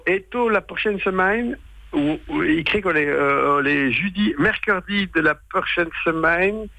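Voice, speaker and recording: A man in his sixties whose first language is a western French patois, with a creaky, bright voice. He talks casually as a performer being interviewed, feeling for his words in colloquial phrases. The sound is close and dry. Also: on the high side, no room sound. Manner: hesitant, searching, colloquial. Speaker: stage performer, storyteller.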